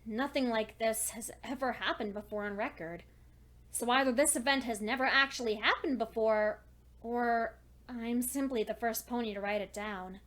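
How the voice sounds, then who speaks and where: A lonely, studious pony mare acted by a woman dictates her journal in a high, feminine voice. She is anxious yet clinging to hope, speaking earnestly and deliberately, with dramatic pauses suited to a dark fan fiction reading. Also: lightly acted. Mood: weary, uneasy.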